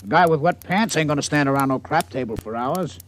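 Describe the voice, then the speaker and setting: A brash American casino boss played by a man from a 1950s radio play. He talks in blunt, menacing tough-guy slang, with a fairly high voice and lively swings in pitch. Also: fairly quick, slight background noise, close to an everyday voice. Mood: wisecracking, casual. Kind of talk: 1950s radio drama acting. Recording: vintage 1950s radio broadcast.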